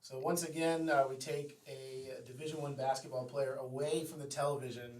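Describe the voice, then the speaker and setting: A man co-hosting a casual sports talk show, chatty and conversational, with quick asides and lots of "like" and "you know". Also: mid-range voice, little pitch movement, darkish tone, rough recording. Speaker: podcast co-host.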